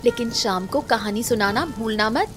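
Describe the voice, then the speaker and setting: A woman playing a gentle, caring, cheerful family woman in a cartoon story, sweet in manner. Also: a little high, expressive, normal speed, over music, lightly acted.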